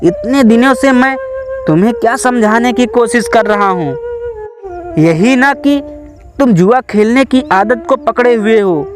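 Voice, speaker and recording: A man voicing a patient, grave sage monk who teaches calmly in a storybook tale. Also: extremely high pitch, a little slow, music in the background. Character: calm male sage monk teaching through riddles. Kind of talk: storybook narration.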